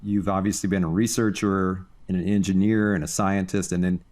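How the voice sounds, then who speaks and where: A man's voice: deep voice, little pitch movement, brisk, darkish tone. A man hosting an interview podcast, moving between scripted introduction and questions to a guest. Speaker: podcast host.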